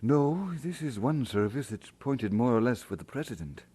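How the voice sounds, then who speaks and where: An actor playing an earnest, modest Scottish minister in an audio drama, with a husky voice and expressive delivery. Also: male voice, mid-range voice, moderate pace.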